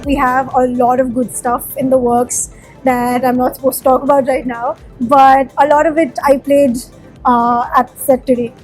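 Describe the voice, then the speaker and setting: A woman's voice: high voice, little pitch movement, moderate pace, faint music behind. A woman from Sikkim whose first language is Nepali, a musician answering interview questions in a chatty, candid way with plenty of fillers. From Sikkim, India.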